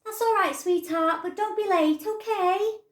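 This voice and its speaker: A woman's voice: very high-pitched, a little slow, roomy sound, pitched above the performer's own voice. A woman doing a busy, breezy parent. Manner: breezy and dismissive. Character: busy, distracted, affectionate parent.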